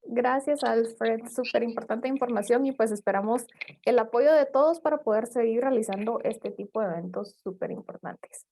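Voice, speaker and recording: A female co-host speaks over a video call in a fairly high, mellow voice. She reads a speaker's introduction with steady, announcer-like pacing. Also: moderate pace, a little hiss or hum. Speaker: event co-host.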